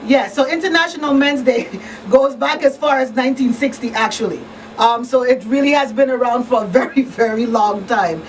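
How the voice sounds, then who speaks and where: A woman's voice: high voice, normal speed, distant mic, a little hiss or hum, poor audio. A woman hosting a conversational community radio talk show, speaking in an earnest, emphatic way as an advocate. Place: small radio studio. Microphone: studio microphone on boom arm.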